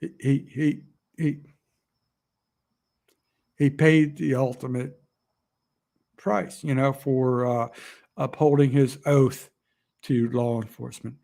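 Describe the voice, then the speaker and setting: A man talking to camera in an emotional, rambling vlog, halting often with fillers and restarts. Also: mid-range voice, steady tone, gravelly, warm tone. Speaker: outdoor vlogger. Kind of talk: emotional casual monologue.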